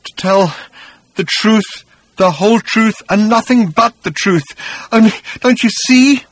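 A man voicing a frail, half-mad journalist in an audiobook, hysterical and haunted, with halting, stammering repetitions. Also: high voice, lively intonation, fairly bright tone, breathy edge, dry recording, voice pushed up, exaggerated voice. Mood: rising hysteria. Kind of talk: dramatised audiobook character reading.

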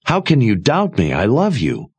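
A man's voice: medium pitch, wildly swooping pitch, normal speed, breathy edge, voice pushed up, full character voice. A man voicing a devious young male soldier in a fantasy audiobook, soothing and evasive. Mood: defensive.

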